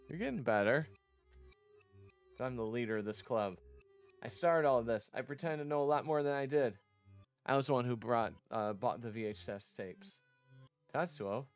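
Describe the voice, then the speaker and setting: A man reading visual novel dialogue aloud as a streamer, acting out the lines and now and then stumbling over words. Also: mid-range voice. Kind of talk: dramatic read-aloud of game dialogue. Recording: music behind the voice. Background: music.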